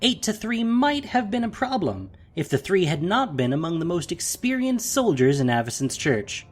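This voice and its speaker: A man narrating a scripted audio drama in an expressive, theatrical manner. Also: high-pitched, normal speed, soft background music.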